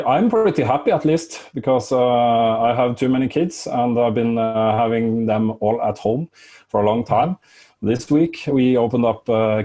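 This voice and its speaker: A Norwegian man with a Norwegian accent, a podcast guest who speaks haltingly and searches for words. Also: mid-range voice, dark tone. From Norway. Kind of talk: casual interview.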